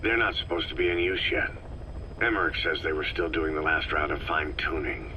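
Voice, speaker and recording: A stoic soldier in a war game, a man of few words. His voice is extremely deep and hard-edged, with every line kept short and clipped. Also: brisk, sharp tone, slight background noise, full character voice, low-quality recording. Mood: terse. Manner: terse, clipped questions. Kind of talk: video game voice acting.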